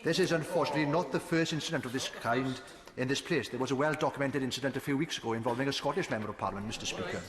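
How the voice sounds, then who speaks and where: A man with a Welsh accent, a member of parliament earnestly raising a point of order in a formal parliamentary speech. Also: mid-range voice. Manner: earnest, measured, slightly hesitant at the start. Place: large debating chamber. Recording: echoey chamber with murmuring crowd.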